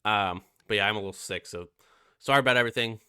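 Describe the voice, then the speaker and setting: Young male reviewer, stuffy and nasal from a cold, low-ish voice, dry close sound, loose off-the-cuff rambling. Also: fast, sharp tone, clean tone, no echo. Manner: rambling, many fillers and swearing. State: sick and stuffy. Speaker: music reviewer. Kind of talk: casual album review.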